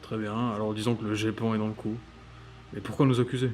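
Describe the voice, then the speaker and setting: A man's voice: fairly low pitch, little pitch movement, quick pace, a little mellow, soft background music, lightly acted. A man playing a breezy, teasing and casually skeptical colleague at an agency in a French audio drama. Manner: casual, joking. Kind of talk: French audio fiction dialogue.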